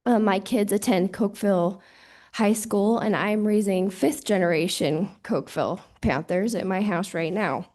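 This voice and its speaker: A woman's voice: medium pitch, moderate pace, a little mellow. A woman who has lost her voice, reading earnest, proud public testimony as a parent at a hearing, into a desk microphone. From Wyoming. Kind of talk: prepared public comment.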